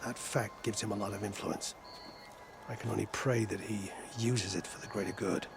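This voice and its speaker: An actor playing a stern, weary older admiral in a video game, gravelly, speaking in a grave, formal, measured way. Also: male voice, lowish voice, fairly even intonation, background noise. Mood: grave, resigned. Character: weary, stern older male fleet admiral, guarded and pessimistic. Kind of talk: video game cutscene acting. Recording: music behind the voice.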